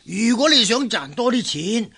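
Worldly, friendly male trader for radio drama; high-pitched, coaxing, explanatory. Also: animated, moderate pace, vocal fry, bright, forceful tone, slightly rough, exaggerated voice. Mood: coaxing, informative. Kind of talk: Cantonese radio drama acting.

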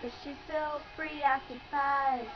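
High-pitched young woman singing along, lo-fi. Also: steady tone, slow pace. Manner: playful, giggly. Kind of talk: amateur pop-rock sing-along. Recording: dull lo-fi home recording with loud music. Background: pop-rock song playing.

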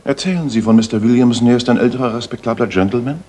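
An actor playing a haughty, self-assured gentleman detective in a radio play, speaking in a precise, lecturing, theatrical way. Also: medium pitch, quick pace, breathy edge, close to an everyday voice. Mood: probing, cool. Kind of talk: German radio play acting, 1980s. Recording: 1980s radio play with audible noise.

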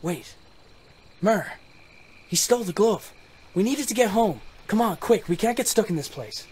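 A man voicing an anxious, caring young father in a video game, earnest and hurried. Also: high-pitched, lively intonation, a little mellow, breathy edge, poor audio. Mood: urgent. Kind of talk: video game voice acting and narration.

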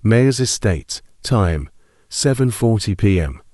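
Low, dark-voiced male narrator. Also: sing-song, slow, dry recording, studio quality. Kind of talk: scripted analog horror narration.

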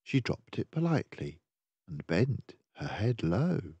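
An older man reading a children's storybook aloud in an expressive, measured, dramatic way. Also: lowish voice, highs cut off.

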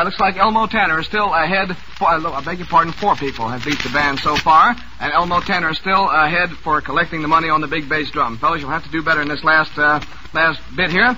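A man with an American accent hosts a vintage radio quiz in a very bright, forceful voice. His patter is chatty and jovial. The old broadcast recording has faint noise. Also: fairly high pitch, low-quality recording. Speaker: radio quiz show host. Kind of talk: 1940s radio quiz hosting. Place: radio studio.

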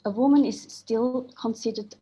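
A woman from Switzerland speaking on a video call as a panelist, earnest and measured, with frequent fillers. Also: fairly high pitch, steady tone, dark tone, slightly rough, low-quality recording. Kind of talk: panel discussion remarks.

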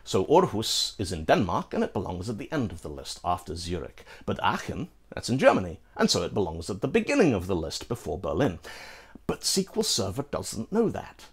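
A man from Britain walks through a programming topic in a studio, his voice sitting a bit low with a touch of huskiness. He talks with lively, gesturing energy and tosses in playful asides to the viewer. It sounds like a scripted tech explainer from a seasoned host. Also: slightly rough. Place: studio in front of a green screen.

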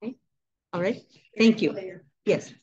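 A woman's voice: mid-range voice, unhurried, warm tone, breathy edge, muffled recording. A woman in her sixties, a club president holding a meeting, talking casually with a handheld mic, chatty with laughing asides. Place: indoor meeting room. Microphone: handheld mic, webcam from a distance.

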